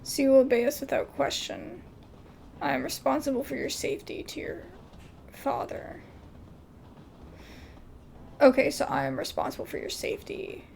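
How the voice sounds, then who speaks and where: A woman hosting a casual gaming video, reading game dialogue aloud haltingly and adding her own asides. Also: high-pitched, fairly even intonation, gravelly, darkish tone, a little hiss or hum.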